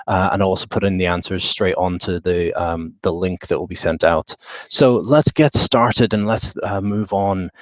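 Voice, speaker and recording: A man with a British accent talks through a slide deck in an online session, speaking in an easygoing way full of "uh" and "um". His voice sits fairly low. The sound is dry and close, picked up by a computer mic on a video call. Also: dry recording. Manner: relaxed, many fillers and restarts. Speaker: webinar presenter. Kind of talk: online conference presentation.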